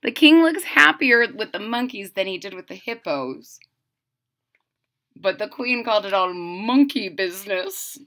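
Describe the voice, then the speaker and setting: Middle-aged woman, children's read-aloud, unhurried and very animated. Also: mid-range voice. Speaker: storyteller reading a picture book aloud. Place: small room at home.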